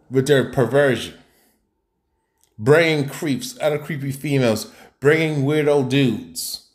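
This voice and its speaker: Blunt ranting male streamer. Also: mid-range voice. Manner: blunt, scolding, repeats and restarts words. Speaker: reaction streamer. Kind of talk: ranting commentary over a played clip.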